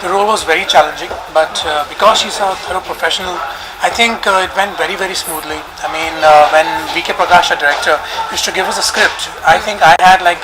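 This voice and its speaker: A young man, an actor, speaking in a measured way with many fillers and light jokes in a press interview. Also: high-pitched, fairly even intonation, music in the background, poor audio. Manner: measured, hesitant with fillers, joking. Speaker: actor promoting a film.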